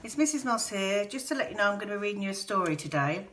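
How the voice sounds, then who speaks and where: A woman's voice: medium pitch, lively intonation, quick pace, a bit bright. A woman teacher reading a picture book aloud to young children, telling the story expressively and dramatically. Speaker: infant school teacher.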